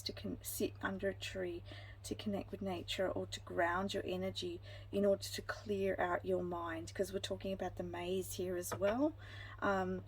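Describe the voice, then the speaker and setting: Soft-spoken woman giving a tarot reading. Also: medium pitch, slight background noise, rough recording. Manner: meandering, frequent ums and restarts; gentle, reassuring, addresses viewers directly. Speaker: tarot card reader. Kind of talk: intuitive pick-a-card reading.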